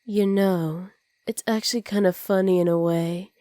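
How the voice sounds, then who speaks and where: Woman acting a smug, mellow-voiced supervillainess. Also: medium pitch, fairly even intonation, normal speed, no echo, studio quality, lightly acted. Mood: commanding, gloating. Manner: smug, teasing, commanding, often dropping to soft whispers. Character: dominant female supervillain with superpowers, teasing and possessive. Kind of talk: ASMR roleplay.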